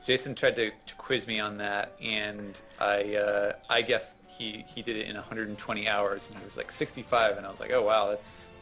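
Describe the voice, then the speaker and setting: Man, fairly low voice, casual gaming co-host, explanatory, hesitant phrasing, soft music underneath. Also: flat delivery, moderate pace, few pauses, poor audio. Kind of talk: casual chat, game advice.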